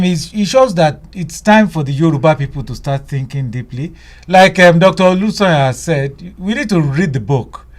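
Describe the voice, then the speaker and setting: A man presents a live radio discussion show. His voice sits a bit high for a man, and he feels his way through sentences, often doubling back on his phrases. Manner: halting, repeats phrases as he goes. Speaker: radio talk show host.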